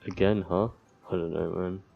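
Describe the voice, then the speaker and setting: A man doing casual gaming commentary as he reads a visual novel's text aloud, somewhat haltingly, with joking asides. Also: deep voice, steady tone, vocal fry, dull audio. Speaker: let's player reading a visual novel aloud.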